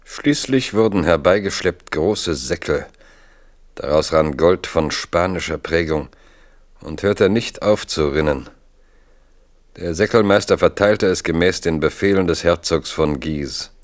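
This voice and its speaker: A man's voice: low voice, unhurried. A man narrating a scripted historical radio drama.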